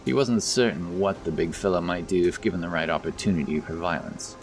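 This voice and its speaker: A man's voice: sits low, brisk, faint music behind. A man narrating a scripted fantasy story as the storyteller of an audio drama.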